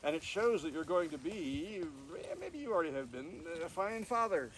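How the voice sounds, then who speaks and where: A man's voice: on the high side, very animated, moderate pace. A man voicing a proud, friendly father raven in an outdoor storybook reading. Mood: approving. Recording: distant mic, noisy outdoor recording.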